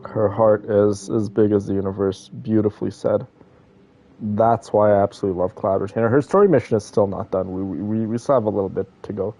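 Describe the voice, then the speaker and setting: Male game streamer, soft-toned low voice, casual reaction commentary, earnest, effusive, emphatic repetition, light background music. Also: steady tone, fairly quick. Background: faint music.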